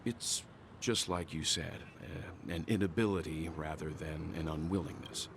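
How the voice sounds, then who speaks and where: A man playing a steady, thoughtful gentleman in a game cutscene, speaking in a deliberate, reflective way. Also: extremely low pitch, vocal fry, noisy background, heavily acted. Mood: reflective, sincere. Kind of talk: scripted game cutscene acting.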